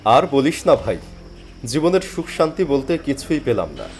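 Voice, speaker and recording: A man playing a weary, penniless job seeker who tells his story in expressive, plaintive dramatic narration. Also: mid-range voice, moderate pace, a little hiss or hum. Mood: plaintive, friendly. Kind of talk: first-person dramatic story narration and character dialogue. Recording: music behind the voice. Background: background music.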